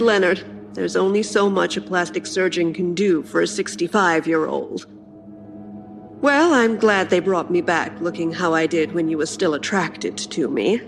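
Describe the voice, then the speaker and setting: Needling older wife, gravelly creak, dry reproach, radio drama with faint music. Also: female voice, on the low side. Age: 60s. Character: sardonic, reproachful wife in her sixties. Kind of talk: audio drama acting.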